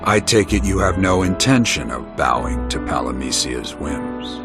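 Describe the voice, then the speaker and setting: A man playing a weary, wise old warrior in a video game, speaking in measured, formal phrases. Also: sits low, animated, loud music behind the voice. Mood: grave. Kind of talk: scripted video game voice acting.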